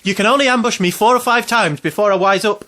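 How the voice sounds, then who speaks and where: A man's voice: high voice, fast talker, bright, forceful tone. An actor playing an anxious, self-pitying man in his twenties, hesitant and rambling, in an audio drama. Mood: wry, downcast. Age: 20s.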